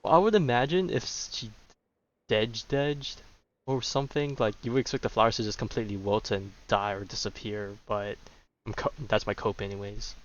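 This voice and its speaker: A man's voice: on the low side, moderate pace. A man giving casual livestream commentary as he plays a game. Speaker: game streamer. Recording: slightly dull, lo-fi mic. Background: game music.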